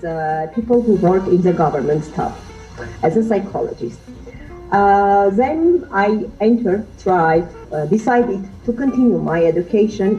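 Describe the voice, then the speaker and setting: Halting Armenian woman, dark voice. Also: on the low side, muffled recording. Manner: searching for words, halting. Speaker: guest teacher. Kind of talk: podcast interview.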